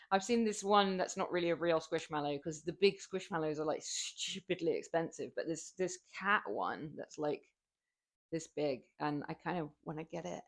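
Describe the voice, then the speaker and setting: A young woman with a southern English accent, chatting casually with her stream viewers while lifting weights, rambling and laughing often. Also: deep voice. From southern England. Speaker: fitness streamer.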